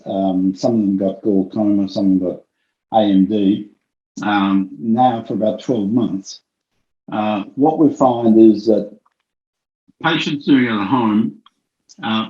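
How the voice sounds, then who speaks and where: A vision scientist telling a story in a conversational interview, with frequent ums and restarts. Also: male voice, on the low side, roomy sound, highs cut off.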